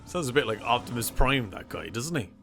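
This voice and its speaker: A man gives casual reaction commentary in a bright, forceful voice with a gravelly edge. His pitch jumps around, and he sounds informal and excitable. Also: fairly quick, a little hiss or hum. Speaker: reaction video host.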